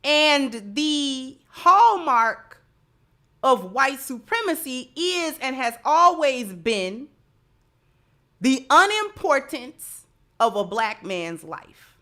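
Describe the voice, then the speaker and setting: High, bright-voiced woman giving emphatic commentary. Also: big pitch swings, drawn-out words. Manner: emphatic, repeats phrases for emphasis. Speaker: livestream commentator and host. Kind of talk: livestream social commentary with asides to viewers.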